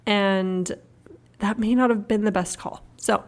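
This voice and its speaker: A woman's voice: mid-range voice, fairly even intonation, unhurried. A woman hosting a podcast interview, talkative and eager, recorded over a video call. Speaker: podcast host. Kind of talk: casual interview chat.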